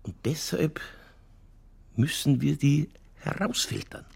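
An actor playing a stubborn, provocative Bavarian police detective in a German radio crime drama, holding forth in colloquial dialect with a husky, gravelly voice. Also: male voice, fairly high pitch, slow pace, a little mellow, exaggerated voice. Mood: opinionated.

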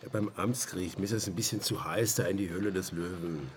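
A man's voice: deep voice, quick pace, close to an everyday voice. A man playing a dogged, sardonic amateur investigator in a naturalistic radio drama, colloquial and hesitant. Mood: wry, insistent. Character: dogged, sardonic ex-surveyor turned driver, investigating on his own.

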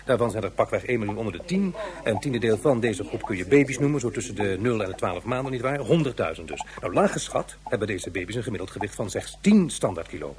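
Skeptical male professor, rapid talk, fussy and argumentative, crowd behind, radio play. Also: on the low side, big pitch swings. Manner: hesitant starts, argumentative, repeated tag questions. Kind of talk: science fiction radio drama acting.

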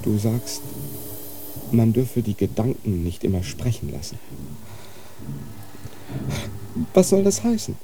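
An actor playing a young, troubled monk in a radio drama, impassioned and pleading, with faint noise behind. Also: male voice, fairly low pitch, very animated, normal speed. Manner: impassioned, pleading, swings from quiet to outcry.

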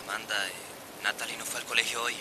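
A man playing an unsure, evasive teenager in a radio serial. The voice is medium-pitched, with clear hissy noise behind it. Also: level tone, brisk, natural voice. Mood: hesitant, evasive. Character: hesitant, reluctant teenage boy. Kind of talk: radio drama acting.

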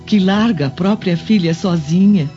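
Actress as a mellow-voiced, dignified Roman mother. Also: on the low side, close to an everyday voice. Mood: firm, indignant. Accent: Brazilian. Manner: earnest, emotive, measured. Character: tender, dignified, protective mother, Roman noblewoman. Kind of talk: radio drama acting. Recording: dull lo-fi audio with music underneath.

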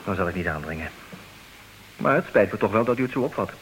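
A man plays a suave, stubborn gentleman in a comic radio play. His delivery swings widely in pitch, formal and teasingly polite. The recording is old, with an audible hiss. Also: lively intonation, fast talker, natural voice, rough recording. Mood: teasing politeness. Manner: mock-courteous, formal, teasing.

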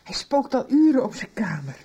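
A woman's voice: a little high, sing-song, moderate pace, mellow, slight background noise. A woman playing an anxious, guilt-ridden middle-aged mother in a radio soap, worried and hesitant. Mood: agitated. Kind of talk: Dutch radio soap acting.

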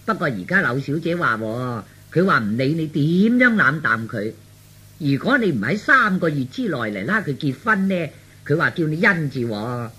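A man's voice: a little high, big pitch swings, normal speed, sharp tone, breathy edge, exaggerated voice. A man voicing a meddling middle-aged aunt in Cantonese radio storytelling, earnest, insistent and colloquial. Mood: scolding. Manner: insistent, colloquial, full of rhetorical tags.

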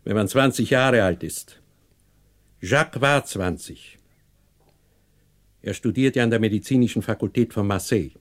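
A man acting a stern, proud, unforgiving older patriarch in a German radio play, cold, sarcastic and measured. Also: fairly low pitch, lightly acted. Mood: cold, haughty.

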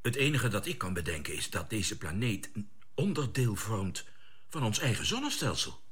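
A decisive spaceship commander in a radio drama. His voice is bright and slightly husky, with lively pitch movement. He stays composed and businesslike, speaking with authority. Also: normal speed, heavily acted. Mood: questioning, calm. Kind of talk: Dutch science fiction radio drama acting.

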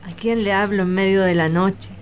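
A young woman playing a gentle, wistful daughter of a country estate in a radio play. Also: mid-range voice, fairly even intonation, moderate pace, slight background noise, natural voice. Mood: wistful longing.

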